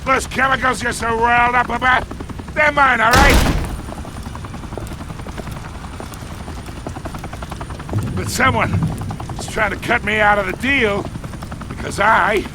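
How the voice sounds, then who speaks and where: An actor playing a brash, foul-mouthed mob boss in a video game, defiant even when cornered and blustering with threats. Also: male voice, very high-pitched, moderate pace. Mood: agitated. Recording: loud action noise behind the voice.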